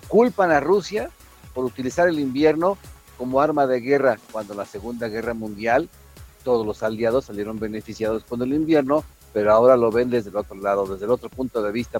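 A man on radio gives casual, explanatory commentary, wandering as he goes. His pitch is average and music plays faintly underneath. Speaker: radio show co-host. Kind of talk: casual news and sports commentary.

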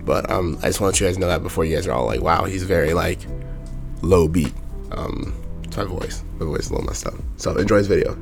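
Young man, raspy, monotone, sore throat, very deep voice, casual vlog rant. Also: fast, over music. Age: young adult. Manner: talks in loose runs with fillers. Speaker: vlogger.

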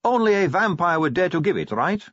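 A man's voice: sits high, big pitch swings, fairly quick, fairly bright tone, dry recording, dull audio, pitched above the performer's own voice, heavily acted. A man voicing a teasing, self-assured female vampire police officer in an audiobook story. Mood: knowing, teasing. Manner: breezy, needling, coaxing.

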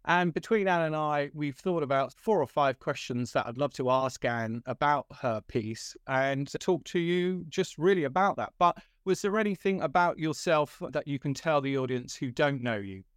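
A man's voice: a little high, expressive, normal speed, few pauses, clean tone, dry recording, studio quality. Friendly rambling male podcast host. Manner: friendly and rambling, with stammered restarts and chuckles. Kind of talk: podcast interview.

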